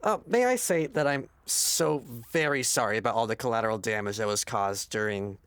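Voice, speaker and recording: A woman's voice: a bass voice, a little fast, no room sound. A woman playing an earnest, literal-minded park ranger in a comedy audio drama, polite, pedantic and easily flustered. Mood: polite, curious.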